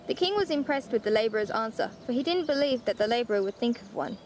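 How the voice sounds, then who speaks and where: A friendly bedtime storyteller, played by a woman, with a fairly high voice in an echoey room. She is the teasing elder relative, reading fairy tales over faint noise. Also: animated, fast, close to an everyday voice. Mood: storytelling. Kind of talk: bedtime storytelling in amateur drama. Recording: roomy echo, faint background noise.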